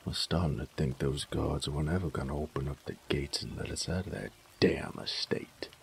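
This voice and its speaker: A man playing a cocky, sadistic bandit in an audio roleplay, with a gruff, teasing drawl. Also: a little hiss or hum, heavily acted. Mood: annoyed, grumbling. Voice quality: gravelly, very deep drawl. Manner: drawling, teasing, folksy, crude. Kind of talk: intimate audio roleplay drama.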